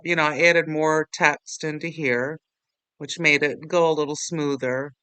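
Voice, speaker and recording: A man with a fairly high, bright voice talks through a software tutorial. His delivery is informal and rambling, with a self-deprecating streak, and the sound is clean and close. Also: clean tone, no room sound. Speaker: tutorial host. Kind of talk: casual screen-recording software walkthrough.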